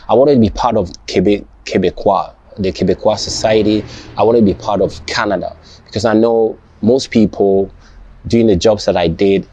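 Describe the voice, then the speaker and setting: A young man, not a native English speaker, talking casually to his viewers, halting and restarting often and checking "you understand?". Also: lowish voice, warm tone, no room sound, dull audio. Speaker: vlogger. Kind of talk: casual personal talk to camera.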